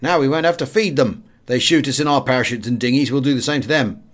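Casting: callous young English RAF-style pilot played by a male audiobook reader, brisk, bitter and hard-edged. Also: mid-range voice, lightly acted. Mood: hard, defiant. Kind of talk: audiobook character dialogue.

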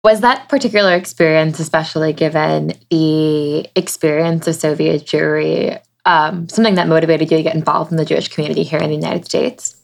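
A woman's voice: low voice, steady tone, brisk, vocal fry, few pauses. A woman hosting an interview, upbeat and enthusiastic as she introduces her guest and asks questions. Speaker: interview host.

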